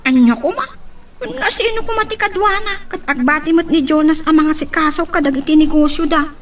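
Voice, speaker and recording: A woman's voice: high-pitched, brisk, close to an everyday voice. A woman with a Filipino accent playing a concerned, gossipy member of a household in an audio drama, fretful and conversational. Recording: distant from the microphone.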